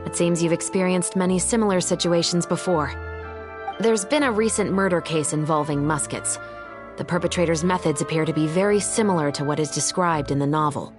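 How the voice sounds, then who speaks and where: Disciplined young musketeer officer for a video game, acted by a woman: fairly low female voice, grave, formal, justice-minded. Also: music in the background, lightly acted. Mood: composed, approving. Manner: formal, measured, earnest. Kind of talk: scripted video game dialogue.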